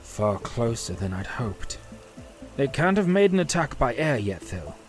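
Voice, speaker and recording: Guy doing earnest tired half-elf leader, serious, middle pitch, fantasy story w music. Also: sing-song, a little slow. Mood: grave. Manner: grave, serious.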